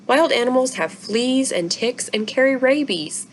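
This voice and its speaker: A woman reading a storybook as a stern, practical father with a firm, measured, explanatory manner. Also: sits high, lively intonation, voice pushed up. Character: stern, practical father trying to keep calm. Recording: noisy room recording.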